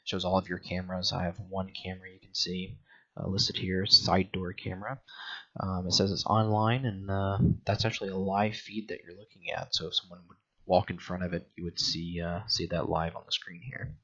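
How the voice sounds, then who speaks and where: Low, gravelly-voiced man walking through a demo. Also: level tone, a bit bright, muffled recording. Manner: halting, hesitant, step-by-step explaining. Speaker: product reviewer. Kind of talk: casual product walkthrough demo.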